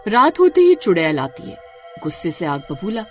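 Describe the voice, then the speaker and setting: A woman tells a scary story as a scripted narrator. Her voice sits a little low and swings expressively for drama, with faint music behind it. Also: huge pitch swings, moderate pace.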